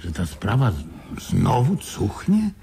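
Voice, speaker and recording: Decisive, wary radio drama businessman: low, very hoarse-edged voice, brisk and insistent. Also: wildly swooping pitch, dark tone, exaggerated voice. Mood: suspicious, urgent. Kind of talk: Polish radio play acting.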